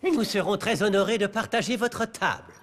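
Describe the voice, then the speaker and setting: An actor playing a nervous, polite, learned doctor in a cartoon, hesitant and formal. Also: male voice, high-pitched, moderate pace, a bit bright, a little hiss or hum. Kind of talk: French cartoon fairy-tale dub.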